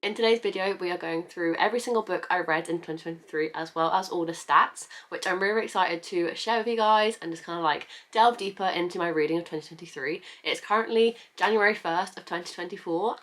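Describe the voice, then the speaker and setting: A young woman talks through her year of books in a relaxed vlog manner, her voice a touch low. A cold leaves her sounding stuffy and bunged up. She reels off title after title in a steady stream. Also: fairly quick, hardly any pauses. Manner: chatty, listing titles in a steady run. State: congested from a cold. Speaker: book vlogger. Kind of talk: casual reading-recap vlog. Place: at home.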